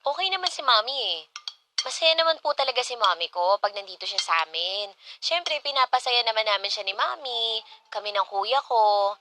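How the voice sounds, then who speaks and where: A woman's voice: on the high side, expressive, moderate pace, bright, forceful tone, a little hiss or hum. A woman with a Filipino accent playing a jealous, sulky grown daughter in a radio drama. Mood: guarded, pointed. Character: grown daughter, jealous and sulky, protective of late father's memory.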